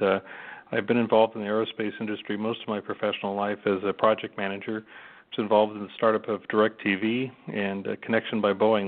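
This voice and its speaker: A man from Los Angeles introduces himself in a halting way, pausing with frequent "uh"s. His voice is fairly low and flat, carried over a narrow phone-like line. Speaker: museum board secretary.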